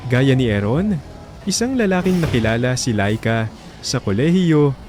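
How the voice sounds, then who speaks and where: Measured Filipino man narrating over music. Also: mid-range voice, very animated. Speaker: radio drama host and narrator. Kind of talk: scripted sentimental story narration. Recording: music bed behind narration.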